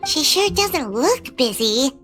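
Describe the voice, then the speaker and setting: An actress voicing a tiny childlike girl fairy companion in an anime game, squeaky, perky and frank. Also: big pitch swings, slow, fairly bright tone, faint music behind, heavily acted. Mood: eager, persuasive. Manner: perky, refers to self in third person.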